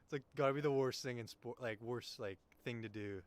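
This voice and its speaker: A man's voice: mid-range voice, fairly quick. A young man from Canada doing chatty, slangy play-by-play commentary of a baseball game in an informal, jokey way. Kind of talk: casual, chatty live sports commentary. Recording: noisy live ballgame broadcast.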